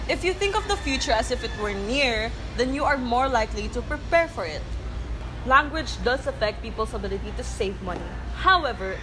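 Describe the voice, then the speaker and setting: A woman's voice: on the high side, expressive, a little fast. A young woman from the Philippines, a university student, reading a class presentation evenly to camera outdoors on campus. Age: young adult. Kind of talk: scripted class video presentation. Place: outdoors by a green wall on campus. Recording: outdoor traffic noise behind.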